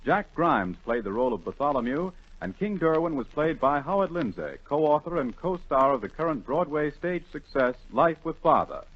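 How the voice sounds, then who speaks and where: An American man reading a scripted announcement in formal, measured 1940s radio style. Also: a little high, very animated. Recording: dull, lo-fi 1940 radio broadcast.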